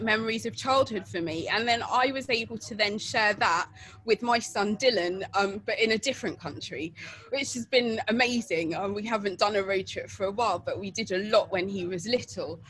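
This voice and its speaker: A woman's voice: medium pitch, a bit bright, low-quality recording. Chatty middle-aged english woman on a video call outside at night, lots of ums, bit of hiss behind. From England. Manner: chatty, laughs often, many fillers and restarts. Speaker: interviewee. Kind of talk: casual storytelling. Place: outdoor porch at night. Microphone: webcam video call.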